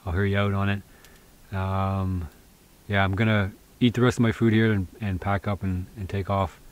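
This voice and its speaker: A man's voice: sits low, flat delivery, normal speed. A man in his thirties vlogging outdoors in a snowy forest, talking casually and encouragingly to camera with lots of fillers and restarts. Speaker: bushcraft vlogger.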